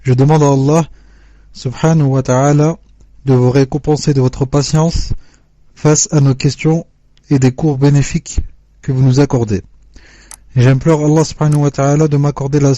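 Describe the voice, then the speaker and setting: Mellow man reading questions aloud. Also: on the high side, no echo. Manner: measured, formal reading. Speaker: question reader. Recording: dull dry lo-fi recording.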